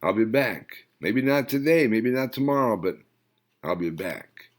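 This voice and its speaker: Man putting on a scornful old patriarch, older man, high strained voice, loud, mocking, storybook villain. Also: animated, measured pace, lightly acted. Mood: grudging. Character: gruff old male clan patriarch, scornful.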